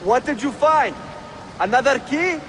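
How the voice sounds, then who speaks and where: An actor playing a commanding, determined male villain in a video game, with a forceful, impatient delivery. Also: extremely high pitch, animated, unhurried. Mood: eager. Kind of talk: video game cutscene acting. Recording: loud noisy outdoor game ambience.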